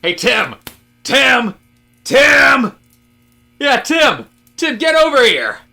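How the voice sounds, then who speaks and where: Man acting fed-up office worker, bright voice. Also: very high voice, huge pitch swings, extremely slow, voice pushed up, heavily acted. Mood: gleefully defiant. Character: disaffected male office worker, increasingly fed up and rebellious. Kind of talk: comic audio drama dialogue.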